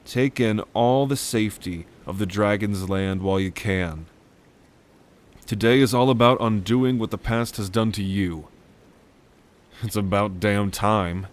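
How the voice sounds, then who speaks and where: A man's voice: lowish voice, lightly acted. A man playing a world-weary, blunt dragon brother in a roleplay audio drama, telling things in a wry way. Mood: reassuring. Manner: wry, unhurried storytelling with cursing.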